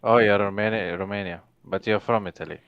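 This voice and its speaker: A man's voice: lowish voice, fairly even intonation, a little fast, smooth, no room sound, highs cut off. A man receiving coaching, answering briefly and agreeably in casual chat over a voice call. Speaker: coaching student.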